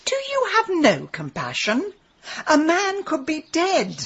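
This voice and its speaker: An actress playing a bossy, sharp-tongued amateur sleuth with a British accent and a dry, sardonic manner in a radio drama. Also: female voice, very high-pitched, wildly swooping pitch, takes it slowly, muffled recording, exaggerated voice. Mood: dry, indignant.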